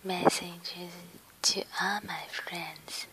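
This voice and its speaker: A woman whose first languages are Cantonese and Mandarin, hosting a soft-spoken ASMR chat from home in a breathy whisper, hesitant and pausing often. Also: lowish voice, level tone, slight background noise, poor audio. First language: Cantonese and Mandarin Chinese. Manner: hesitant, simple phrasing with many pauses. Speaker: ASMR channel host. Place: at home at her computer. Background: faint keyboard typing clicks.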